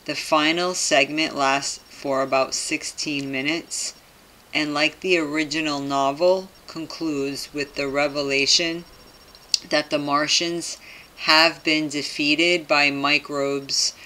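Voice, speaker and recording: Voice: mid-range voice, steady tone, takes it slowly, pushed and bright, low-quality recording. A person reading aloud and commenting casually to viewers, with skeptical, conspiratorial asides and frequent tag questions, in a home recording. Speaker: video host commenting on a topic.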